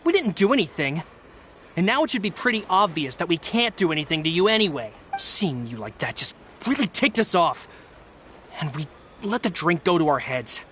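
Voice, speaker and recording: A man's voice: a little high, very animated, normal speed, a bit bright, a little hiss or hum. A man voicing a resentful male thug in a video game, grudging and sulky. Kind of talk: game voice acting.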